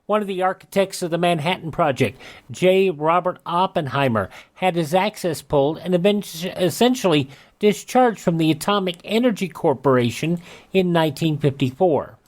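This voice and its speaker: A man's voice: a little high, normal speed. American man hosting a radio show. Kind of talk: scripted almanac narration of historical dates.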